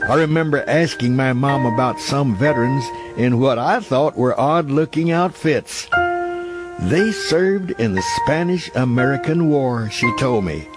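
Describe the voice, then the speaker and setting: A man's voice: medium pitch, expressive, unhurried. A man reading a scripted memoir as a narrator, in a nostalgic, reflective storytelling manner. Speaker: audiobook narrator. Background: background music.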